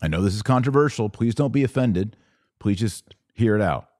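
Deep-voiced man teaching in a live Q&A. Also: expressive, moderate pace, a little mellow, no echo, clean studio sound. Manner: didactic, explanatory, asks and answers own questions. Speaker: podcast host answering viewer questions. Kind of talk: live Q&A, informal religious teaching.

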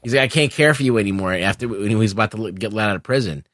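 A man discussing films casually as a podcast panelist, haltingly repeating words as he reasons. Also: on the low side, fairly bright tone, dry recording, clean studio sound.

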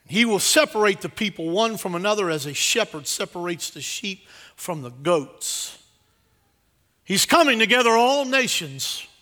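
A man preaching a sermon to a congregation, exhorting emphatically with repeated phrases and swinging between quieter asides and loud declarations. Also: sits high, animated, a little slow.

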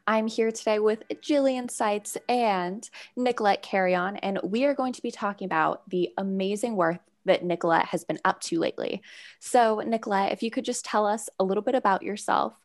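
A woman's voice: mid-range voice, moderate pace. A young woman hosting an interview podcast in an upbeat, chatty way. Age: young adult. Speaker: podcast host.

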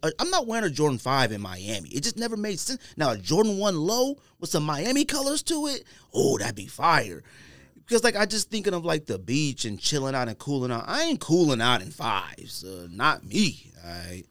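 A man's voice: fairly high pitch, wildly swooping pitch, moderate pace, a bit bright, dry recording. A man hosting a casual podcast, talking in an informal, opinionated way with plenty of slang. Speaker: sneaker podcast host. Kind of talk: casual banter and opinionated sneaker commentary. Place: podcast studio.

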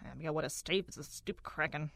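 A woman's voice: sits low, fast, gravelly, fairly bright tone, no room sound, clean studio sound. A woman playing a stern, blunt, exasperated producer in an audio drama, speaking curtly. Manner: curt, scolding.

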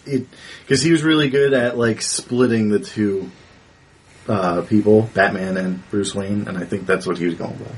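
A man's voice: lowish voice, moderate pace, slightly rough, low-quality recording. A man chatting casually as a panelist in a group film discussion. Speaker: panelist on a movie discussion show.

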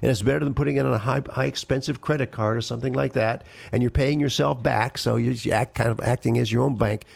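Quick-talking gravelly radio finance host. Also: male voice, medium pitch, steady tone, no echo. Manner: emphatic, quick advice-giving delivery. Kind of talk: call-in radio finance talk.

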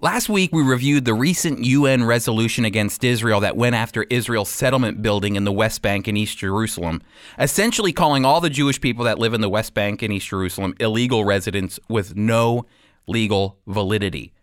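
A man's voice: lowish voice, fairly quick, no echo, clean studio sound. A man giving sermon-like Bible teaching as a radio host, in an earnest, emphatic manner.

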